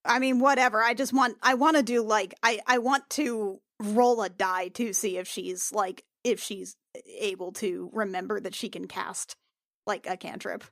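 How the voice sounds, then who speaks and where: A woman with a mid-pitched voice talks casually as a tabletop game player. The recording is dry and close, with little room sound. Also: fairly even intonation, normal speed, fairly bright tone, dry recording.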